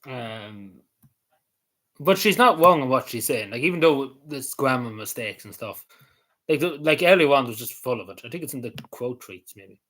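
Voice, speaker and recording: A man's voice: mid-range voice, lively intonation, normal speed, fairly bright tone. A man rambling casually on camera, hesitant, with many fillers, restarts and small chuckles. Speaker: vlogger.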